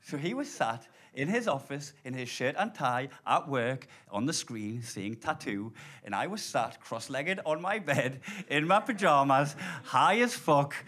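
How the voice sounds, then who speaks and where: A man in his thirties from Blyth with a Geordie accent, doing an animated, sweary stand-up set live on stage. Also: medium pitch, unhurried. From Blyth, northeast England. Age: 30s. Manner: animated, swearing, laughing storyteller. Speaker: stand-up comedian. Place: live comedy club. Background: audience laughter.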